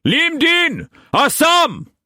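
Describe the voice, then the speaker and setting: A man's voice: medium pitch, sing-song, slow, fairly bright tone, dry recording, clean studio sound, voice pushed up. A man voicing a furious, domineering master who barks harsh commands at his household. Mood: raging, bellowing.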